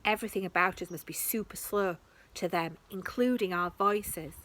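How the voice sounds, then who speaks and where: A woman's voice: on the low side, normal speed, a bit bright, slight background noise, natural voice. A woman voicing Max, a cautious and thoughtful young boy in a storybook reading. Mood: worried.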